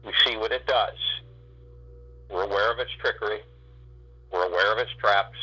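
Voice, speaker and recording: A man guiding a spiritual meditation, speaking in slow, drawn-out phrases with long pauses. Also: lowish voice, pushed and bright, husky, faint music behind, poor audio. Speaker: meditation guide.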